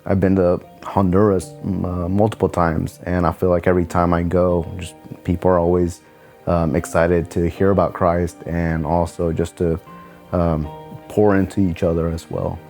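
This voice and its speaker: A man, vice president of a nonprofit, speaking in a relaxed, casual way with many fillers. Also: low-pitched, moderate pace, faint music behind. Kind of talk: documentary interview.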